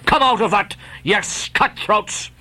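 A man's voice: high-pitched, a little slow, bright, forceful tone, voice pushed up, full character voice. A man doing a roaring stern sea captain. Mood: roaring orders. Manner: roaring commands, drawn out. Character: stern shouting ship captain, man, harsh.

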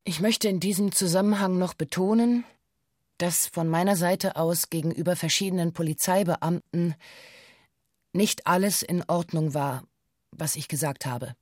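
An actress playing a female witness in a radio play, reciting a police statement in a stiff, measured, matter-of-fact way. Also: lowish voice, darkish tone, no echo, clean studio sound, close to an everyday voice. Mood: formal, composed. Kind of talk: radio play, formal recited testimony in bureaucratic German.

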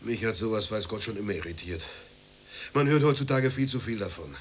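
A man's voice: lowish voice, brisk, close to an everyday voice. An actor playing a poor, prudish father hungry for respect, grumbling and ranting in a radio play. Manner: grumbling, ranting, swinging between brooding and heated outburst. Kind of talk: German radio play acting. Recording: noisy 1980s radio play recording.

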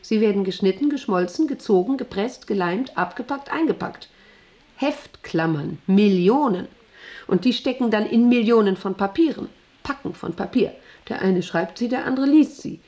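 A woman's voice: mid-range voice, animated, moderate pace, mellow, natural voice. An actress playing a proud, middle-aged female factory director in a radio play, rambling on in a condescending, self-important way and often seeking agreement. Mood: proud, lecturing. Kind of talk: radio play monologue to an employee.

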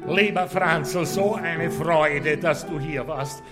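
An actor with an Austrian accent playing a slick, jovial show host with a nasty streak, in a radio play. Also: male voice, a little high, slow pace, loud music behind the voice. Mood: gushing. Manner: gushing, theatrical presenter patter. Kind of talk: radio drama acting as a show presenter.

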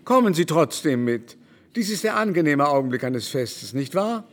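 An actor playing a vain, effusive baron and aesthete in a radio drama, talking in an affected, exclamatory way. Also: male voice, on the high side, big pitch swings, normal speed. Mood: effusive, wistful. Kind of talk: literary radio drama acting. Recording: studio recording with music behind.

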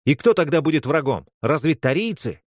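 A man's voice: on the high side, sing-song, fairly quick, no echo, clean studio sound, pitched above the performer's own voice. A man voicing a desperate, angry young man in an audiobook story, with an insistent, commanding, terse manner. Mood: quietly threatening.

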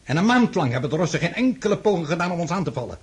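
Bossy overconfident french emperor, really rough husky voice, radio drama. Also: male voice, fairly high pitch, big pitch swings, rapid-fire, heavily acted. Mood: impatient confidence. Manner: brusque, commanding, self-assured.

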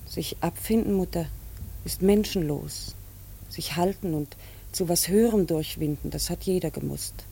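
An actress in a radio play, playing an earnest, dutiful unmarried daughter with a restrained, halting but firm manner. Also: female voice, on the low side, creaky, warm tone, slight background noise. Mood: earnest, troubled. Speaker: actor in a radio drama. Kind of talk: German radio play dialogue.

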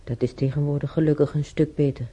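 An actress playing a weary, timid elderly former housemaid in a radio play, with a breathy, husky voice and halting, sighing delivery. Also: female voice, low-pitched, steady tone, dark tone. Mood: mildly insistent. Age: older. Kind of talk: naturalistic radio drama monologue and dialogue. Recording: dull, muffled radio play recording.

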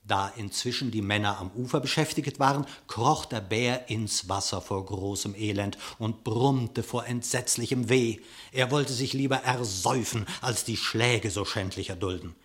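A man narrating a verse fable theatrically, with dramatic, expressive declamation. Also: on the low side, normal speed. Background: music.

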